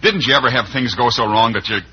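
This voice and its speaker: Quick, desperate American drifter played by a man. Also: mid-range voice, natural voice. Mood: desperate, defensive. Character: desperate, defensive down-and-out drifter father. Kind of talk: old-time radio drama acting. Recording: muffled old radio recording with hum.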